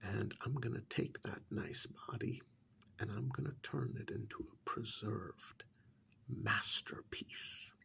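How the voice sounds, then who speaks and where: A man playing a calm, menacing killer in a soft-spoken ASMR horror role-play, hushed and deliberate, with a condescending, musing manner. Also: on the low side, full character voice. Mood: pleased, appraising. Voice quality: breathy half-whisper with gravelly creak. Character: calm, menacing male killer who preserves victims as art.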